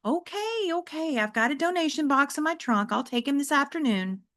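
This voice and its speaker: A woman voicing a patient, apologetic mother who speaks gently and gets a little flustered, higher than her own voice. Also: expressive, moderate pace. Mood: soothing.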